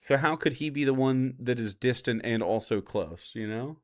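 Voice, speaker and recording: A man's voice: medium pitch, normal speed. A man doing casual live reaction commentary as a streamer, argumentative, sarcastic and given to swearing.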